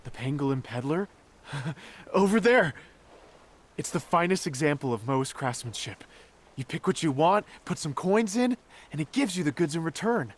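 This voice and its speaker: A man's voice: fairly high pitch, lively intonation, normal speed. A man playing an earnest, helpful young companion in a video game, with an eager and reassuring manner. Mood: eager, explaining. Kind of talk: video game character acting. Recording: music behind the voice.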